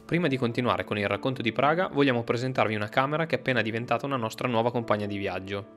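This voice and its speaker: A man's voice: mid-range voice, racing, fairly bright tone, faint music behind. A man, a travel vlogger reading a scripted travel narration.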